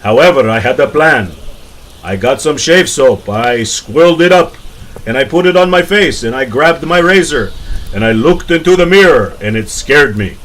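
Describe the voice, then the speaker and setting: A man's voice: mid-range voice, lively intonation, moderate pace, fairly bright tone, low-quality recording. A man playing a genial, joking vampire being interviewed in a comic radio skit, with theatrical delivery. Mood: wry storytelling.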